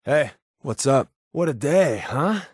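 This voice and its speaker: A wisecracking undercover spy from a video game. He is an American man with a mid-pitched voice that swings freely up and down. His talk is glib, casual and sardonic, recorded close and dry. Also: lively intonation, slow, breathy edge, no room sound. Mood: casual, wry. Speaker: video game companion character. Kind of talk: video game voice acting, conversational dialogue.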